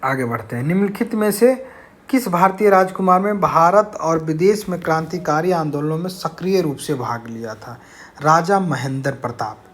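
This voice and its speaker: A man's voice: a little high, animated, normal speed. A man teaching an exam-prep lesson, reading out questions and explaining answers in a didactic, repetitive way. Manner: didactic, repeats key phrases.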